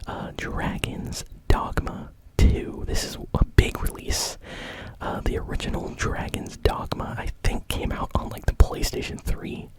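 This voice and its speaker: Whispered ASMR gaming news host. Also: low-quality recording. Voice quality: breathy unvoiced whisper.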